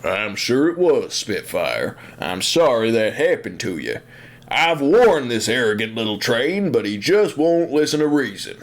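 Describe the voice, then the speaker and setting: A man playing a calm stable companion in an audio drama, speaking in a measured, sympathetic manner. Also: mid-range voice. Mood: consoling.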